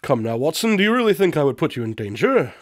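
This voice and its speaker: An actor playing an eccentric, condescending detective-inventor in a radio drama, speaking in formal, precise, calmly pompous diction. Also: male voice, on the high side, fast, no echo, studio quality. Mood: smug, matter-of-fact.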